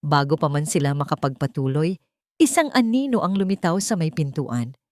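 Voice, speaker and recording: A woman reads a dramatic love story as a scripted narration. Her voice sits a little low for a woman, and the recording is very dry, with no room sound. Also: big pitch swings, moderate pace, no echo.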